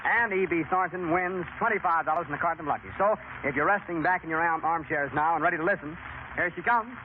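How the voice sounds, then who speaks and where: A noisy, narrow-band 1930s broadcast carries an American man with a high voice. He hosts in a chatty, folksy style, talking directly to the folks at home. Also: quick pace. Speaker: radio quiz show host. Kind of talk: 1930s radio presenting. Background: clear broadcast noise.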